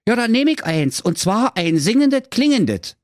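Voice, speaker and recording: A man voicing a cocky, brash young man in a comic fairy tale, in a raised, high voice. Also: wildly swooping pitch, normal speed, dry recording, studio quality, heavily acted. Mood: eager.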